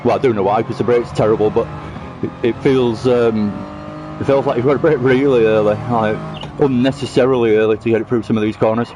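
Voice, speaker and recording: A chatty man talks through a racing game in a mid-pitched, everyday voice, laughing easily and ribbing his mates. Music plays clearly underneath him the whole time. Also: steady tone, fairly quick. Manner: chatty, laughs often, teases friends in chat. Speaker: sim racing streamer. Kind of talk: casual live-stream gameplay commentary.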